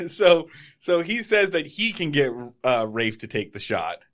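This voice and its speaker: A man's voice: on the high side, sing-song, measured pace, fairly bright tone. A man co-hosting a casual comedy podcast, sarcastic and profane, laughing often. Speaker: podcast co-host.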